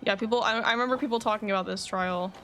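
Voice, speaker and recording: A woman's voice: medium pitch, rapid-fire, pushed and bright. A woman giving casual live commentary as she streams a game. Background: game music.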